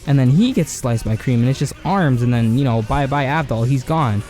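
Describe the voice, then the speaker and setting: A man giving a casual anime commentary, rambling and slangy with lots of filler words, his voice hoarse and cracking. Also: medium pitch, a little fast, dark tone, faint music behind. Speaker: video essay host.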